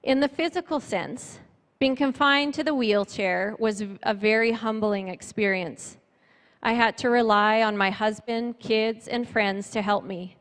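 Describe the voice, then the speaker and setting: Female church speaker, middle-aged, slightly high voice, earnest read-from-notes delivery, handheld mic with long room reverb. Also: a little slow. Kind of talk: sermon with personal testimony and scripture reading. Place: church stage before a seated audience, indoors. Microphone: handheld microphone. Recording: reverberant hall, amplified church sound.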